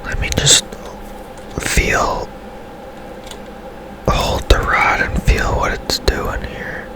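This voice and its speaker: Slow, whispering man doing gaming ASMR. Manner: hushed, unhurried, musing aloud. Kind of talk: whispered ASMR game commentary.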